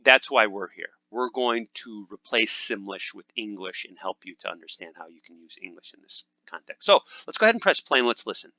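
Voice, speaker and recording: A man hosts a language lesson with a bright, sharp edge to his lower voice. His upbeat talk is full of fillers and restarts. The sound is dry and a little dull, like a modest home mic. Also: expressive, a little fast, hardly any pauses, no room sound. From United States. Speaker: language teacher and channel host. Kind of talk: casual instructional talk over gameplay.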